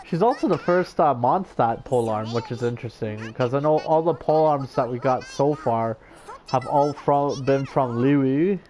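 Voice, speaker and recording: A woman's voice: extremely low pitch, moderate pace, dark tone. A woman gaming streamer giving casual, irreverent commentary in a giggly, joking manner.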